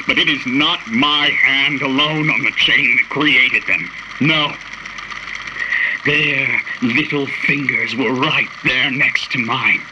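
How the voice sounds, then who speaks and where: Man as a slow, haughty tycoon. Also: mid-range voice, noisy background, lightly acted. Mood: impassioned. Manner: pompous, deliberate, ornate. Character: haughty, cultured tycoon musing in monologue. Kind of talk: dramatic monologue, radio drama.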